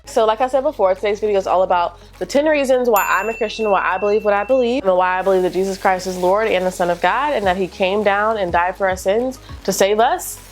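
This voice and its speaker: A woman's voice: mid-range voice, fast, hardly any pauses, faint music behind, low-quality recording. A young woman vlogging to camera, chatty and casual, saying "y'all", with a stuffy nose from a cold. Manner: chatty, southern-tinged "y'all", laughs lightly. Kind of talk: casual faith vlog to camera. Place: room lit by natural daylight.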